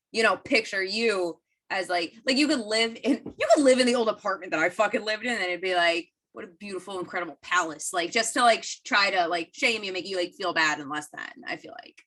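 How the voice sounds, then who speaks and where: Woman cohost, bright sharp voice, blunt and sweary. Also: on the low side. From United States. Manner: blunt, swears, quick reactions. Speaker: podcast co-host. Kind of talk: casual chat.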